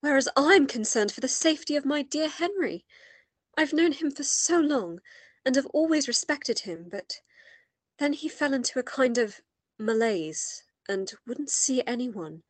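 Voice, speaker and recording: A woman's voice: high-pitched, lively intonation, unhurried, no echo. A woman playing a genteel, earnest and worried lady in a radio play, speaking formally and a little hesitantly.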